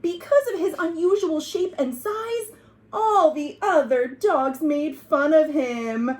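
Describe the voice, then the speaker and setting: A woman hosting a children's storytime, reading aloud expressively and pausing to explain words and ask the listeners questions. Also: high-pitched, darkish tone. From United States.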